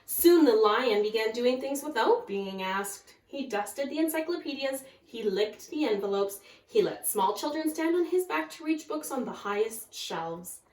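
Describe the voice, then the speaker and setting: A woman's voice: a little high, expressive, unhurried, a little mellow. A woman kindergarten teacher talking to young children and reading a storybook aloud. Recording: echoey room, far from mic.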